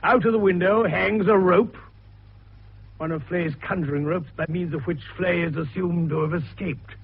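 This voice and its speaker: An actor playing an older, eccentric male doctor sleuth in a radio detective drama, with a gravelly voice and an avuncular, theatrical way of explaining. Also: high voice, fairly even intonation, normal speed, full character voice. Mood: relishing explanation. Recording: dull, muffled radio recording.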